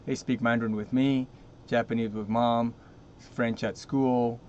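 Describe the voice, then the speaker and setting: A man's voice: mid-range voice, little pitch movement, measured pace, a little mellow. A man hosting an interview, talking casually with many fillers and restarts. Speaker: interview host. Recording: dull lo-fi line with faint noise.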